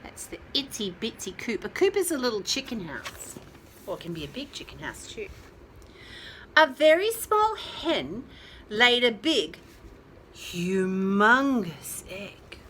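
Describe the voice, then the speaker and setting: A woman reading aloud to young children, adding chatty asides and questions to listeners, with drawn-out emphasis on key words. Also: fairly high pitch, sing-song, a bit bright, a little hiss or hum. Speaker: children's storyteller. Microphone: phone held nearby.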